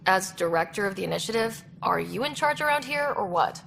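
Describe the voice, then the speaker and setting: An actress playing a young space explorer in a video game, speaking in a casual, direct, inquisitive way. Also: female voice, mid-range voice, animated, brisk, vocal fry, a bit bright, slight background noise. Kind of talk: video game dialogue acting.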